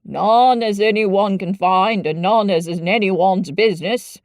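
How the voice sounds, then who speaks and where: A woman voicing a sour, blunt old Yorkshire gardener with slow, grumbling dialect speech. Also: mid-range voice, no echo, lightly acted. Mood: dismissive.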